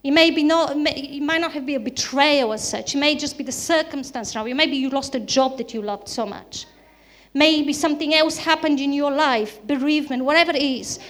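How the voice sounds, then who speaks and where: High-voiced Bulgarian-accented woman preaching. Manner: earnest, repetitive emphatic phrasing. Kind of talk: sermon.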